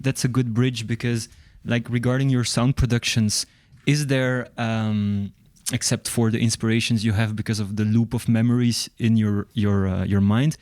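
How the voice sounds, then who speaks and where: A man's voice: mid-range voice, darkish tone, no echo, clean studio sound. A man hosting an interview, asking questions in a casual way with many fillers. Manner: filler-heavy, many ums. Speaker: interviewer host.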